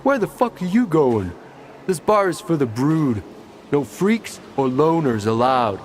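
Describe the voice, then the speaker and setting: Casting: gruff game bouncer, confrontational, higher-pitched, swinging delivery, noisy surroundings. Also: male voice, big pitch swings, a little slow. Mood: hostile. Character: hostile, crude gang bouncer guarding a bar. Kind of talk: video game dialogue.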